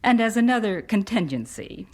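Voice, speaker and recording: Breathy, gentle, knowing wife. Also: female voice, medium pitch, lively intonation, normal speed. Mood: tender, teasing. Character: Fanny, supportive, gently knowing 19th-century wife and mother. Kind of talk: radio drama dialogue.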